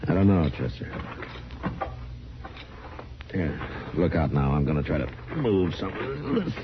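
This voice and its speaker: Super deep voiced old west marshal, serious n weary, plain talk, muffled old radio drama. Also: male voice, sing-song, a little slow, noisy background, full character voice. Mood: watchful. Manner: terse, sombre, plain-spoken. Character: grave, steady, world-weary frontier marshal. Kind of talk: old-time radio western acting and narration. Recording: dull old radio recording.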